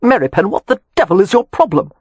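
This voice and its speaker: A reader doing a sardonic gentleman. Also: high voice, sing-song, fast, husky, no room sound, pitched above the performer's own voice, full character voice. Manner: dry, sarcastic.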